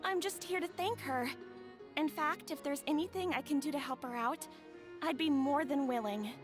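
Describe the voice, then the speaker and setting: A woman's voice: high voice, moderate pace, over music, lightly acted. A young woman playing a sweet, humble, grateful bride-to-be in a video game. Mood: grateful, eager.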